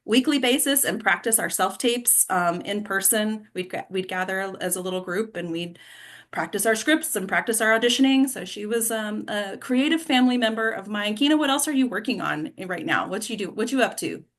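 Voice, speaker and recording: A woman's voice: mid-range voice, fairly quick, a bit bright. Woman casually hosting an online group. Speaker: host of an actors' table read. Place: online video call.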